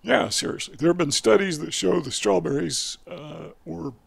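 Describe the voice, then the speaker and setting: Raspy, gravelly older man explaining. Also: on the high side. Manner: folksy, digressive, pausing to correct himself. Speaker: video educator. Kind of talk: casual explanatory monologue. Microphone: headset mic.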